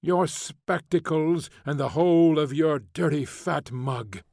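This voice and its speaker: A man's voice: very animated, slow pace, darkish tone, no echo, clean studio sound. A male reader voicing a bitter young woman convict who is drunk, furious and mocking. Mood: scornful. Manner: scornful, mocking, shrill.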